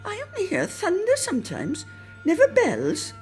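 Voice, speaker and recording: A woman's voice: extremely high pitch, wildly swooping pitch, normal speed, darkish tone, faint music behind, pitched above the performer's own voice, heavily acted. A woman voicing an eager, curious, cheeky small boy who questions insistently in a dramatic storybook reading. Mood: puzzled, curious. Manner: interrupting, insistent, wide-eyed questioning.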